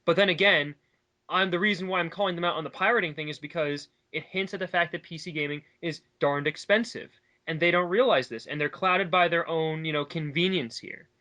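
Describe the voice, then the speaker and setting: A man's voice: on the high side, brisk, pushed and bright, lo-fi sound. A man in a casual, opinionated discussion, talking at length in an emphatic, argumentative way. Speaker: online commentary co-host.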